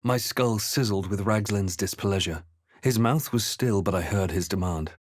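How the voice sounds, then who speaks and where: Man voicing a low, measured fantasy game adventurer. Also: dry recording, clean studio sound, lightly acted. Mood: grave, intent. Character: male fantasy adventurer hero, measured, wary, quietly wry. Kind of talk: video game voice lines, dramatic narration and muttered asides.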